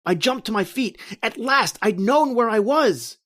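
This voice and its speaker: A woman playing a panicked, bewildered husband lost in a nightmare, with halting, theatrical delivery in an old-style horror radio drama. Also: on the high side, animated, fairly bright tone. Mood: confused, breathless dread. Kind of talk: dramatic first-person horror radio narration and acting.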